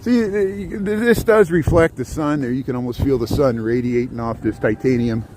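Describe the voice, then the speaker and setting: A man's voice: medium pitch, normal speed. Rambling man livestreaming on city streets. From southern Indiana, US. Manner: rambling, chatty, answers viewer comments. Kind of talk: walking livestream commentary. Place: outdoors on cold city streets. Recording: faint street noise outdoors. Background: city traffic and street ambience.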